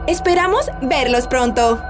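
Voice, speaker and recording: A woman's voice: sits high, expressive, measured pace. An actress playing a theatrical, courteous female cemetery tour guide in a dramatized audiobook. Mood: courteous, cheerful. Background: music.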